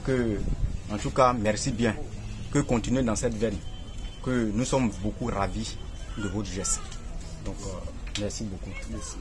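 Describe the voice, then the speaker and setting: A man giving a slow, formal thank-you on behalf of residents. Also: lowish voice, steady tone. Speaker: residents' spokesman. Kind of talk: brief thank-you speech. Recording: distant mic with loud music.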